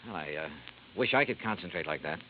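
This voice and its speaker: An actor playing a wry, cool insurance investigator who narrates and questions people in a dry, laconic way, in a 1950s radio drama. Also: male voice, sits low, animated, fast talker. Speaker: narrator and lead detective. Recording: tinny lo-fi old radio broadcast with faint hiss.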